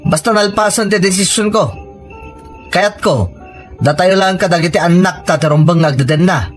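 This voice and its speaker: A stern, grumbling husband played by a man in an Ilocano serial drama, worn down by money worries. His voice sits a little high for a man, with an Ilocano accent and emphatic, complaining delivery over soft music. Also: normal speed, close to an everyday voice. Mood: stern, insistent. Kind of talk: radio-style serial drama acting.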